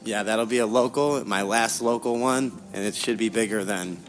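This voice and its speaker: Low-voiced young man, casual interview guest. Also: moderate pace, soft background music. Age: young adult. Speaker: interview guest, professional fighter.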